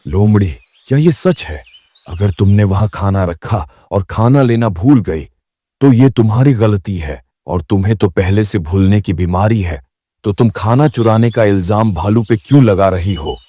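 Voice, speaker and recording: A man's voice: lowish voice, expressive, unhurried, dark tone, dry recording. A man voicing a stern lion king in a children's cartoon, questioning with authority. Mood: reproving. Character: stern male lion king judging jungle animals. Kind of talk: Hindi cartoon dubbing.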